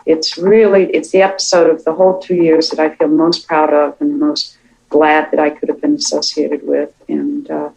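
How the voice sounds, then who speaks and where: A woman speaks as a writer being interviewed, with audible fry in her voice. Her delivery is thoughtful and measured, laying out her reasoning in a reflective way. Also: low-pitched, little pitch movement, a little mellow, poor audio.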